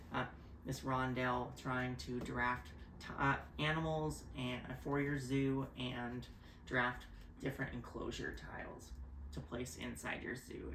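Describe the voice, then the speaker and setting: A man of middle pitch walks through board game rules in a relaxed, halting way, filling gaps with "uh". The sound is roomy, with a faint background hiss. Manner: hesitant, many "uh" fillers, explains game rules. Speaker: board game reviewer and co-host. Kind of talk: casual chat. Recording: roomy echo.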